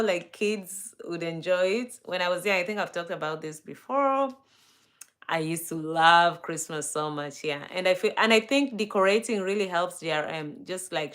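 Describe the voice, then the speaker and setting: A woman with a Kenyan accent talks through a casual livestream at an unhurried pace, her voice sitting in a middle range. She drifts between thoughts with fillers and soft restarts, greeting viewers by name. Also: medium pitch. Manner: relaxed, chatty, laughs often, many fillers and restarts. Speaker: livestream host. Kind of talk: casual livestream chat reading viewer comments.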